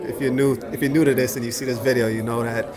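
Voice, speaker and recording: A male host chats easily with collectors at a boutique event, dropping quick yeahs and for sures. His voice sits at an ordinary middle pitch. A busy crowd murmurs all around him. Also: steady tone. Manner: friendly, agreeable, lots of short affirmations. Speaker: interviewer and channel host. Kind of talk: casual event interview. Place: indoor watch boutique event. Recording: voices over a chatty crowd.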